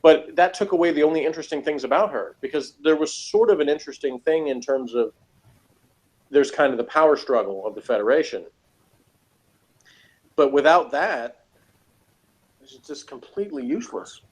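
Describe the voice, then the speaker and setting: A man in a casual review chat, talking in a halting, rambling, opinionated and critical way. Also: fairly high pitch, expressive. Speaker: review show co-host.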